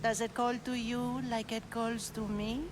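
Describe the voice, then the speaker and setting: An actress playing a stern, haughty cultist in a dramatic game cutscene, speaking deliberately over background noise. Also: female voice, fairly high pitch, little pitch movement, natural voice. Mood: entranced. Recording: clear background ambience noise.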